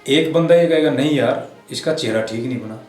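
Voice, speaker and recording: A middle-aged man, telling anecdotes as an interview guest in a colloquial, halting way. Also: medium pitch, a little mellow. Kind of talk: casual anecdotal interview talk. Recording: roomy echo, music underneath.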